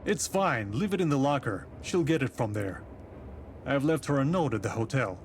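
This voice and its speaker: Man acting a tsarist-era detective, visual novel, polite, measured, formal, medium pitch, faint train noise behind. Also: lively intonation, natural voice. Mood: matter-of-fact. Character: composed male police detective from the capital in tsarist Russia.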